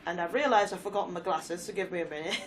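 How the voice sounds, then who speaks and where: A woman's voice: fairly low pitch, fast, distant mic. A woman with a cold and a stuffy nose, chatting casually and giggling as she reads game trophy descriptions aloud. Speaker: gaming YouTuber.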